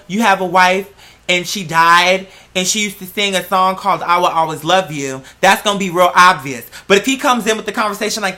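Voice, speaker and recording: Bright-voiced, blunt woman reacting on video. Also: mid-range voice. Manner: blunt, skeptical, profane, emphatic. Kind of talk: casual reaction commentary.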